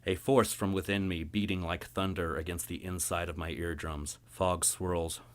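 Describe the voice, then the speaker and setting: A man's voice: low voice, flat delivery, normal speed. A man reading a first-person fantasy story as scripted narration. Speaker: story reader.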